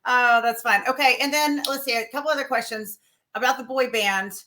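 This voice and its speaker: A woman's voice: a little high, brisk, sharp tone. A woman hosting an interview, asking curious, upbeat follow-up questions. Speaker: interview host. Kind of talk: casual interview chat.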